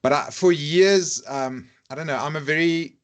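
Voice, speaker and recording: A man's voice: on the high side, moderate pace. A man whose first language is Afrikaans, speaking English with an Afrikaans accent as a podcast guest, candid and self-deprecating, with hesitant restarts. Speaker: podcast guest, rugby YouTuber. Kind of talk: casual podcast chat. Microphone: lavalier mic.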